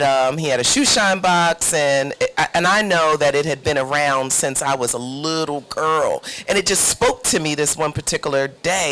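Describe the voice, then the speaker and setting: A woman's voice: low-pitched, a bit bright. A middle-aged woman being interviewed as a playwright, telling her story conversationally.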